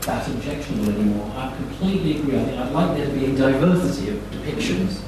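Man, halting reflective panel talk, very echoey, clear background noise. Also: mid-range voice, expressive, far from the mic. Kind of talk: panel discussion, reflective opinion. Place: live festival panel in echoey room.

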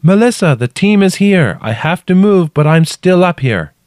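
A man's voice: high voice, very animated, normal speed, no room sound, studio quality, voice pushed up. Man acting an anxious man calling out urgently. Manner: projected, urgent shouting. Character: worried male companion shouting down to trapped woman, anxious, urgent.

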